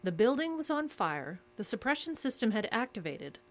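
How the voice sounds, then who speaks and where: An actress playing a controlled, secretive interrogator in an audio drama, measured and coolly insistent with clipped questions. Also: female voice, on the high side, very animated. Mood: cool, probing.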